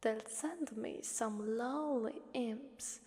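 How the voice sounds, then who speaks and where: A woman voicing a menacing, bored and playful female demon in an ASMR roleplay, with a breathy, often whispering voice and teasing, condescending delivery. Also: on the high side, mellow. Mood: haughty, scheming. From Italy. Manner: slow, teasing, condescending, drawn-out pauses. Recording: long cavernous reverb.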